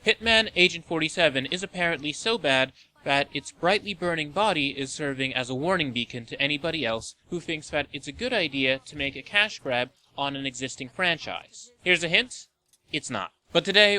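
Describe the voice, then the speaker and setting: A man's voice: fairly high pitch, lively intonation, fairly quick, sharp tone, low-quality recording. A man hosting and narrating a scripted video essay in an opinionated, sardonic manner. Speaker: host of a video game movie list show.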